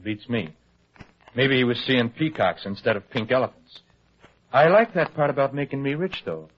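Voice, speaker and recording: An actor playing an anxious, colloquial, fast-talking newspaper reporter in old-time radio detective style. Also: male voice, medium pitch, lightly acted. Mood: eager, conspiratorial. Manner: colloquial, excitable, hesitant when pressed. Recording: dull, lo-fi old radio drama recording.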